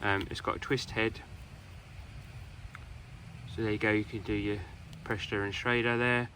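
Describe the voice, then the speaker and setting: A British man giving a casual hands-on product review in an informal, halting way. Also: lowish voice, flat delivery, bright, forceful tone, slight background noise.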